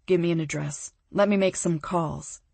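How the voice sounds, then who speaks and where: A woman voicing a blunt businessman who talks in a hushed, gruff way. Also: lowish voice, steady tone, moderate pace, dry recording. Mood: disgusted. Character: brusque businessman, blunt and profane.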